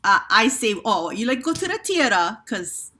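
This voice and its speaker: A woman's voice: on the high side, moderate pace. Chatty woman in her fifties. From Hawaii. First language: Hawaii Pidgin Creole. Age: 50s. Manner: chatty and animated, with laughs and fillers. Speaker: theater company director. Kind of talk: panel introduction over video call. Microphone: video call.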